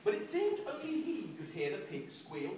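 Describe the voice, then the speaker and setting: A man, a children's author, reading a rhyming story aloud in an expressive, sing-song rhythm at a book event. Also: high-pitched, moderate pace. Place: large echoing room at a book event. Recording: echoey hall, distant mic, noisy.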